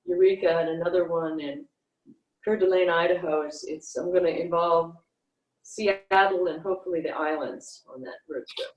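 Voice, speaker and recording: An older woman with a slightly low voice chats casually. She is on a video call, and the room adds a clear echo around her words. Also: fairly even intonation, normal speed, darkish tone. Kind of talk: casual chat.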